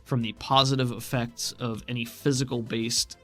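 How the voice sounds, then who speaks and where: A man's voice: mid-range voice, little pitch movement, normal speed. A man running a tabletop role-playing game, narrating scenes and explaining rules in a conversational, explanatory way. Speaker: game master. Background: music.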